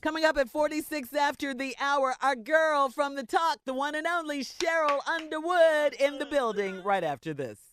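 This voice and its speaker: A woman's voice: high-pitched, normal speed, few pauses, fairly bright tone, slight background noise. A woman co-hosting a morning radio show, introducing segments and wrapping them up. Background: faint music.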